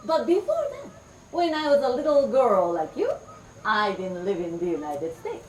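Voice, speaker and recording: A middle-aged woman from Japan performs folk tales for a room of children. Her voice is high and swoops with bright, playful energy. She speaks slowly and clearly and coaxes the audience to repeat words. The microphone is set well back, so her voice carries a roomy echo and faint background noise. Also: very animated, distant mic. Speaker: storyteller. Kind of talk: interactive storytelling for children.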